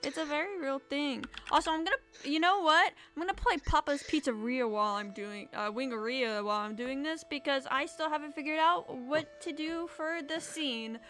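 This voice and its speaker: A woman's voice: high voice, moderate pace, hardly any pauses, fairly bright tone, faint music behind. A woman hosting a casual group stream chat, talking in slang and swearing freely. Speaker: streamer and host.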